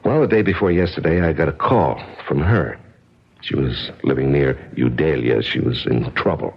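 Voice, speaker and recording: An American actor playing an injured, evasive and wry drifter, colloquial and halting, in 1970s radio drama style on a muffled old broadcast. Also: male voice, a bass voice, creaky, slightly rough, exaggerated voice. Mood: confiding.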